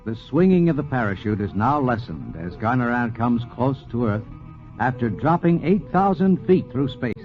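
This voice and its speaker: Lively dramatic vintage radio narrator, male. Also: mid-range voice, faint music behind. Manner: dramatic, deliberate emphasis. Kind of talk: 1940s radio documentary narration, scripted and dramatic. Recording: dull 1940s radio recording.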